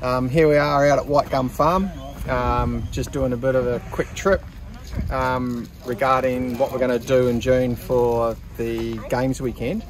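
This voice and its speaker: A middle-aged Australian man casually narrating a four-wheel-drive club trip to his phone camera outdoors, relaxed with lots of ums and dry humour. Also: mid-range voice, a little slow, far from the mic. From Western Australia. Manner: laid-back, many ums, dry humour. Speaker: club member filming a trip vlog. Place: outdoors at a rural campsite.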